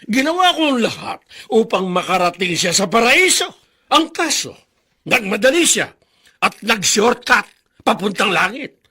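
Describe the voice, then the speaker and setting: High-pitched, bitter Filipino father. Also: very animated, moderate pace, bright, forceful tone, husky, heavily acted. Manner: indignant, sarcastic. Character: bitter, unforgiving middle-aged father. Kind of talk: Filipino radio drama acting.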